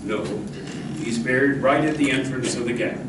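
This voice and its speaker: A man's voice: medium pitch, normal speed, background noise, natural voice. A man playing a heroic, protective masked cowboy lawman in an old-time radio western, speaking in an earnest, commanding and reassuring way. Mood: solemn, reassuring. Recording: roomy, distant live stage recording, music.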